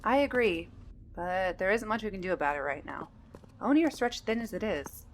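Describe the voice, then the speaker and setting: A woman's voice: medium pitch, animated, moderate pace, a little hiss or hum, lightly acted. A woman playing a pragmatic Marine sergeant in an audio drama, with blunt, clipped military speech.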